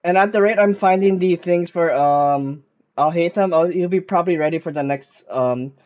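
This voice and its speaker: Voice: medium pitch, normal speed, dull audio. A gamer giving casual, rambling, hesitant commentary while playing. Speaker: gaming streamer. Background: game music.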